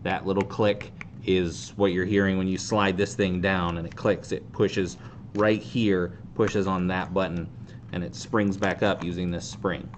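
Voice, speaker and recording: A man in his thirties casually hosting a hands-on how-to demonstration in a deadpan, self-deprecating way. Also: low-pitched, a little slow. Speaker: host of a fan group's how-to video. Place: indoor tabletop video shoot. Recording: clear background noise.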